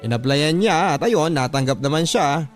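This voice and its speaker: Filipino male storyteller, higher-pitched, over music. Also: lively intonation, moderate pace. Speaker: radio drama host and narrator. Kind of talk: scripted dramatic reading of listeners' letters. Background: background music.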